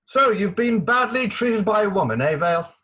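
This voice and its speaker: High-pitched, blustering man acting an old military gentleman. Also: animated. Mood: bluff sympathy. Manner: brisk orders, emphatic exclamations. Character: bluff, commanding military gentleman back from India. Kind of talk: staged reading of Victorian farce.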